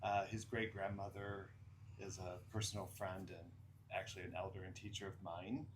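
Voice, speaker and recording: Sixty-year-old man, co-host, low voice, joking manner, light hiss behind. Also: little pitch movement, moderate pace. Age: 60s. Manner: teasing, joking. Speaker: podcast co-host. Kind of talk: casual conversation.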